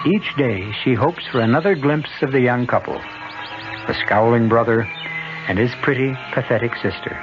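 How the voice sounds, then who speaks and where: A man narrating a radio drama in a scripted, deliberate, wry and suspenseful manner. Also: mid-range voice, over music. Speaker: narrator, host. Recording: muffled old radio broadcast.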